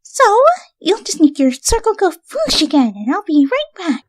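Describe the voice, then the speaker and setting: A woman voicing a hyper, cheerful young female cartoon pony with a bubbly, excitable manner. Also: extremely high pitch, normal speed, no echo, clean studio sound, pitched above the performer's own voice. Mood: giddy.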